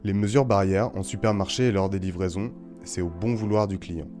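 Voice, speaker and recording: A male narrator reads his script in a very low voice with an even delivery. Music plays clearly beneath him throughout. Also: a little fast. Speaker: podcast narrator. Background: music bed.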